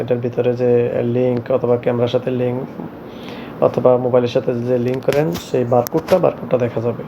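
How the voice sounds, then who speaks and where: A man presenting a hands-on tech tutorial in a halting, repetitive, step-by-step way. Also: mid-range voice, gravelly, background noise. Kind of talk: hands-on product tutorial narration. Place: shop, indoors.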